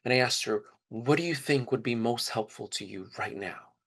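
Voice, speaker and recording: A man's voice: mid-range voice, moderate pace, fairly bright tone. A man telling personal stories in a casual, conversational way, candid and openly emotional. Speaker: trip leader for student service trips.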